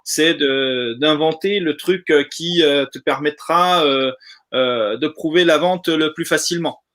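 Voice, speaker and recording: This is a South American man whose native language is Spanish. His voice is somewhat high for a man. He talks in a casual debating style, as a football supporter among fellow fans. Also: measured pace, fairly bright tone. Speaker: football supporter, guest on a live fan debate.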